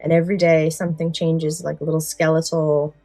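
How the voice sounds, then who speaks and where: A woman co-hosts a podcast in a fairly low voice. Her delivery is conversational and explanatory. The sound is very dry, with faint music underneath. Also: level tone, a little fast, dark tone, no echo. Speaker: podcast co-host. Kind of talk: casual podcast chat with some read-out story summaries.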